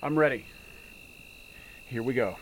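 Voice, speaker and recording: A woman playing an excitable tech operator on a paranormal team in a naturalistic audio drama. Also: rumbling low, a little slow, noisy background. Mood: focused.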